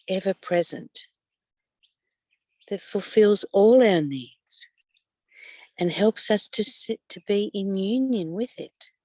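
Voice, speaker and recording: A woman with a lowish voice reads spiritual teachings aloud and reflects on them. She speaks very slowly and deliberately, leaving pauses and repeating phrases. The sound is dry, with little room around her. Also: a little mellow, dry recording. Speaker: spiritual study teacher and reader. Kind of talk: reading a spiritual text aloud with personal commentary.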